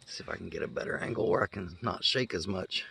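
A middle-aged man with a gravelly, bright-edged voice, chatting to his phone camera outdoors in a garden. He talks in an amazed, thinking-aloud way. Faint outdoor ambience sits behind him. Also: deep voice, fast talker, slight background noise. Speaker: homesteader vlogger. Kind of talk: casual handheld vlog. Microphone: phone camera held close.

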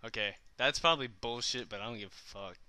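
A man's voice: mid-range voice, a little fast, pushed and bright, no echo. A young man performing short amateur script takes, bluntly swearing and restarting when he fumbles. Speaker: amateur voice actor.

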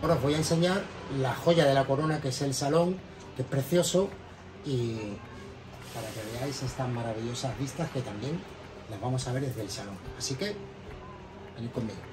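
A man's voice: medium pitch, moderate pace. A man in his forties with an accent from Spain, casually narrating a tour of his home as a vlogger. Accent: Spanish from Spain. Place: indoor apartment rooms and balcony. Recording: echoey rooms, music underneath. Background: background music.

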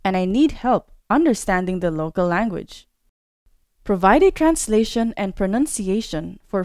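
A woman narrating a scripted screen-recorded tutorial as a course instructor, explaining step by step in a clear way. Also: mid-range voice, a little mellow, dry recording, studio quality.

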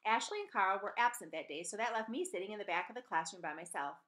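A woman's voice: medium pitch, lively intonation, quick pace, fairly bright tone. A middle-aged woman reading a children's novel aloud as a storyteller, recorded indoors at home on a webcam microphone. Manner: acts out dialogue in changed voices. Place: small room at home, indoors. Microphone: webcam or laptop microphone at desk distance.

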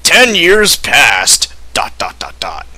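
A man's voice: a little high, huge pitch swings, slow, bright, forceful tone, slightly rough, no echo. A young man giving a mocking, theatrical reading of amateur fiction, often breaking off for joking asides. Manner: theatrical, breaks off to joke and giggle. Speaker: amateur reader of fan fiction.

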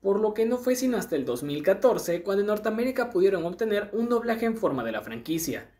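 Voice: medium pitch, big pitch swings, brisk, darkish tone. A narrator with a Latin American Spanish accent, reading a scripted video essay. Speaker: narrator of an anime dubbing history video. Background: background music.

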